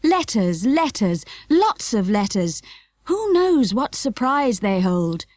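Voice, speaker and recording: Lilting, unhurried woman reading children's verse. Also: fairly high pitch, big pitch swings, darkish tone, dry recording, studio quality. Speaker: audiobook reader. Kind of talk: scripted rhyming verse for children. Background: music.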